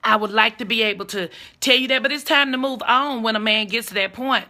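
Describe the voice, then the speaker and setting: Blunt, sassy woman in her forties, hoarse but bright-toned, live-streaming advice from her phone. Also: on the high side, a little fast. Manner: blunt, sassy, talks straight to viewers.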